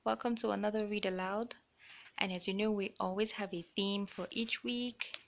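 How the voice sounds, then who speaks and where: A woman hosting a children's storybook read-aloud, explaining things simply and deliberately for young listeners. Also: mid-range voice, fairly even intonation, vocal fry, highs cut off.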